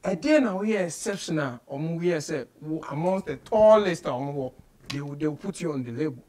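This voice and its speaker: Male interview host, Ghanaian accent, high-pitched, emphatic and animated, code-switching between English and Twi. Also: brisk, slight background noise. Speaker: radio show host. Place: small radio studio office, indoors.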